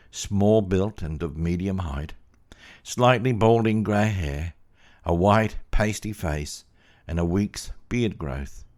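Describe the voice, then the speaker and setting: A man narrating a first-person story in a measured, plain-spoken way. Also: deep voice. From Australia.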